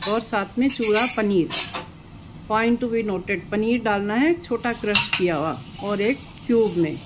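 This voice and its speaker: A woman's voice: medium pitch, a little slow. Chatty woman with a lilt. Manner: homely, instructive, repeats words for emphasis. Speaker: cooking channel host. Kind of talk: chatty cooking narration. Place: home kitchen, indoors. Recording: loud sizzling pan noise. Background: frying and stirring sizzle.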